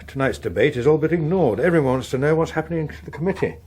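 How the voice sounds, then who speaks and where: Middle-aged English politician, grumpy and dry, husky voice, animated delivery, radio drama. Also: male voice, a little high, fast talker. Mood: wry, weary. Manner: dry, exasperated, articulate. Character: harried, wry veteran politician and grumpy father. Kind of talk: British radio drama acting.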